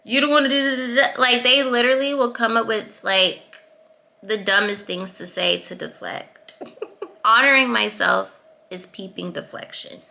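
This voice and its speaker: Young female vlogger, sweet high voice with crisp brightness, frank advice, easy laughs. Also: moderate pace. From Atlanta, US. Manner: assertive, chatty, laughs between points. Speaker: YouTuber. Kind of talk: casual advice vlog.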